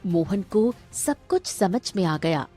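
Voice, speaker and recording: A woman's voice: fairly low pitch, animated, slow, mellow, breathy edge, soft background music. A woman narrating a scripted cartoon story.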